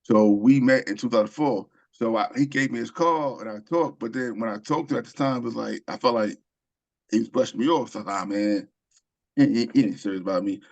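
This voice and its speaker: A man's voice: medium pitch, fairly quick, vocal fry. A man from Queens, New York, telling stories as an interview guest, rambling with many ums and restarts. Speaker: author and screenwriter guest. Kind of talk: casual interview storytelling.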